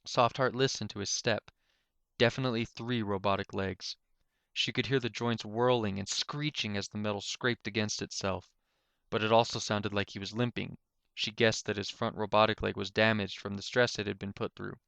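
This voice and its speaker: A man's voice: lowish voice, fairly even intonation, moderate pace, gravelly, fairly bright tone, clear, resonant tone, no room sound. A man reading a scripted story aloud as an amateur narrator.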